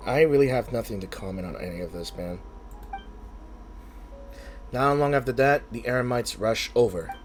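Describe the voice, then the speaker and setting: A man's voice: mid-range voice, normal speed, pauses often, soft background music. A man hosting a gaming video with casual, informal, reactive commentary on a playthrough.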